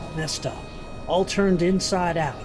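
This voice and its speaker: An actor playing an anxious, guilt-ridden young son, narrating a story in the first person with literary intensity. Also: male voice, fairly high pitch, unhurried, over music, lightly acted. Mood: guilty.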